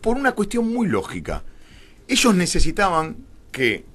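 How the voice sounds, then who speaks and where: A man's voice: sits high, wildly swooping pitch, normal speed, fairly bright tone, slight background noise. A middle-aged man with a Rioplatense Argentine accent, explaining his views as a radio interview guest in an opinionated, irreverent, emphatic way.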